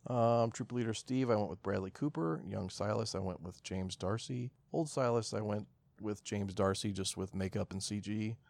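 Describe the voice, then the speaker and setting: A man's voice: lowish voice, moderate pace, vocal fry, hardly any pauses, a little mellow, dry recording. A man co-hosting a casual podcast, talking in laid-back, folksy slang. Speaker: podcast co-host.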